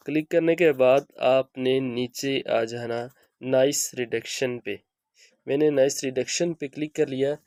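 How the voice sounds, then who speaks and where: Deep, mellow-voiced man giving a tutorial. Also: no room sound. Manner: instructional, repeats each step. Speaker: video host giving an app tutorial. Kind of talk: spoken step-by-step how-to tutorial.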